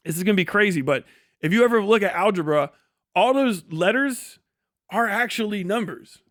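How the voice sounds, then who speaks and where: Blunt, high-voiced man livestreaming. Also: a bit bright, dry recording, clean studio sound. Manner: blunt, heavy swearing. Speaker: livestream host. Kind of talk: casual livestream commentary.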